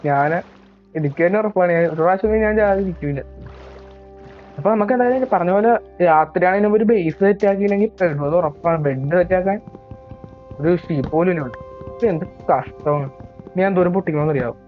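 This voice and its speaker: A young man with a Malayali accent chats through his horror gameplay, joking about how easily he gets scared. His fairly high voice comes through a thin, phone-like mic. Faint game music plays behind him. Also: fast, pauses often. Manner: chatty and self-mocking, admits he scares easily. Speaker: gaming YouTuber. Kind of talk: casual let's-play commentary. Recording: tinny, low-quality mic.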